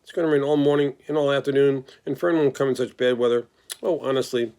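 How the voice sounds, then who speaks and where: A man's voice: medium pitch, fairly even intonation, fast talker, warm tone, close to an everyday voice. A man voicing a lonely young male pig who pleads and whines for a friend, in a storybook read-aloud. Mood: gloomy. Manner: pleading, tearful, rambling.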